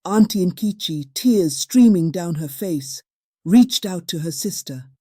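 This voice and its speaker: A woman's voice: fairly low pitch, animated, takes it slowly, warm tone, dry recording, studio quality. A woman narrating a scripted folktale as a storyteller.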